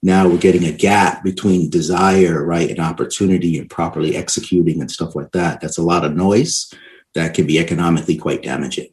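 Really low voiced guy being interviewed, explains stuff with emphasis, keeps checking you follow. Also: fairly even intonation, fairly quick. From Barbados and New York City. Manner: emphatic explainer, frequently tags points with "right?". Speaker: interview guest, education and economics expert.

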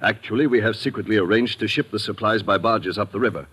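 An actor playing a suave, patronizing army colonel with formal, precise speech in an old radio drama. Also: male voice, fairly low pitch, fast talker, fairly bright tone, husky. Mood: formal, confident. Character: suave military colonel commanding troops, courteous but patronizing. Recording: vintage radio drama recording.

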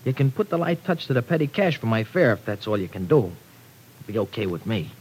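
An actor playing a young, wayward streetwise man with rough, slangy, humble talk in an old radio drama. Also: sing-song, quick pace, a little mellow. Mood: awkward, pleading. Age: young adult. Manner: slangy, ungrammatical tough-kid talk. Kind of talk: 1940s radio drama acting. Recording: noisy old radio recording with rumble.

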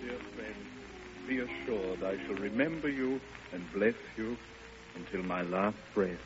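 An actor playing a devoted man voicing a tender letter in a classic radio drama, formal, measured and earnest. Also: lowish voice, close to an everyday voice. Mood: tender devotion. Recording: muffled, hissy vintage radio recording.

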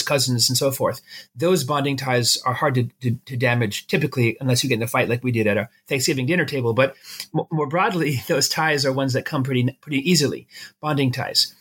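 A man's voice: mid-range voice, a bit bright, husky. A middle-aged man with an American accent, explaining things conversationally with everyday examples as an expert interview guest.

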